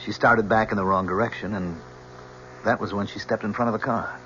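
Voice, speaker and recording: Composed male witness voiced by a radio actor, low pitch, measured and respectful, dull vintage recording. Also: steady tone, a bit bright, natural voice. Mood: composed, earnest. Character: polite, composed male driver testifying under oath. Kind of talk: old-time radio drama acting. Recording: muffled old radio recording, faint noise.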